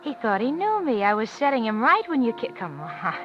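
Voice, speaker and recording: A woman's voice: a little high, very animated, brisk. An actress playing a coaxing, soothing young lady in a 1950s radio drama. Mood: placating. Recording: muffled 1950s radio recording, music behind.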